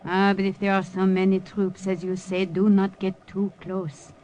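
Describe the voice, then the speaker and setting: A woman's voice: mid-range voice, monotone, moderate pace, mellow, close to an everyday voice, low-quality recording. An actress playing a protective, cautious older village woman in an old-time radio drama. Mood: hushed, cautioning. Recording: old radio broadcast, faint noise and ambience.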